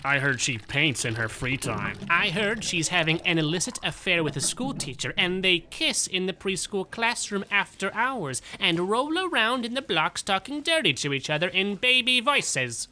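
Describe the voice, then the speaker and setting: Gossipy office coworker for a short story , played by a man, scandalized delivery, higher-pitched voice. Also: big pitch swings, moderate pace, hardly any pauses, bright, forceful tone, a little hiss or hum, heavily acted.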